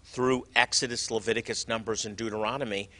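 A man's voice: fairly low pitch, steady tone, fairly quick, pushed and bright. A man hosting a conversation, talking earnestly with frequent hesitations and restarts. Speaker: host. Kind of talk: conversational interview.

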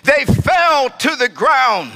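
A man preaching a sermon in an emphatic, declamatory manner, with deliberate dramatic phrasing and drawn-out key words. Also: extremely high pitch, very animated, vocal fry. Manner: emphatic, declamatory, often raising voice to a shout.

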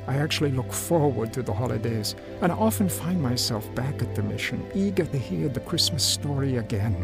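A man's voice: medium pitch, gravelly. Earnest, once-homeless man, music behind. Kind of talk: radio drama narration and testimony.

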